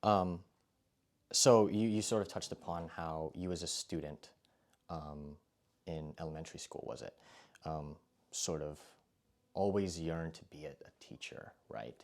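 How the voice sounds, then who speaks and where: A young man with a low voice conducts an interview. He asks long, winding questions and fills them with hesitant ums. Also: a little slow, a little mellow. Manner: hesitant, many fillers. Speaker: interviewer, culture editor.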